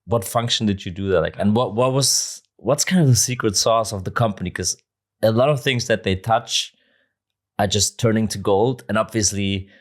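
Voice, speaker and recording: A man hosting a podcast, chatty and quick with interjections, who laughs easily with his guest. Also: fairly low pitch. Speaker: podcast host. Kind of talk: casual interview chat.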